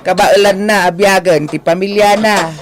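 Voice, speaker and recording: A man's voice: high-pitched, fairly even intonation, normal speed, lightly acted. An actor with an Ilocano accent playing a scolding, plain-spoken old father in a radio drama.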